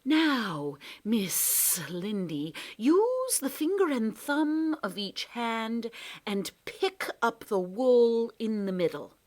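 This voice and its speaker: A woman voicing a fussy, flustered male cat creature who stammers and talks in a breathy, fluttery way. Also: fairly high pitch, big pitch swings, slow, a little mellow, pitched above the performer's own voice. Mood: coaxing.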